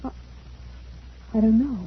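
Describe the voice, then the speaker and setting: A woman's voice: measured pace, natural voice. A woman playing an anxious, earnest teenage girl in an old-time radio drama. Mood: alarmed. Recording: muffled, noisy old radio recording.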